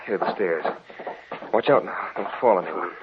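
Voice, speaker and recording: An actor playing a practical, caring young husband in a 1940s-style radio drama. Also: male voice, low-pitched, fairly quick, heavy background noise, close to an everyday voice. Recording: tinny, narrow old radio recording.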